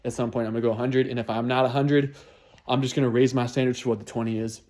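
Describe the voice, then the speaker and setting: A man's voice: medium pitch, flat delivery, fast, a little mellow. A man in his twenties talking through his New Year's resolutions in a casual, motivational way, often repeating and restarting phrases. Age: 20s. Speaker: vlogger.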